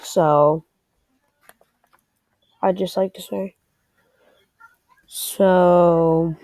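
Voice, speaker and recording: A woman's voice: lowish voice, fairly even intonation, drawn-out words, dark tone, dry recording. A woman giving a casual game walkthrough, thinking aloud and a little hesitant as she explains. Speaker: presenter of a game tutorial. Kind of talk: casual screen-recorded game walkthrough commentary.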